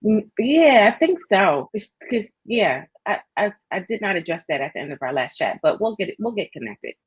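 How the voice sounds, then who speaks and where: Lowish, husky-voiced woman hosting an online chat over a dull mic, chatty. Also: big pitch swings, normal speed. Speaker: author hosting a live chat. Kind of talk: casual live chat.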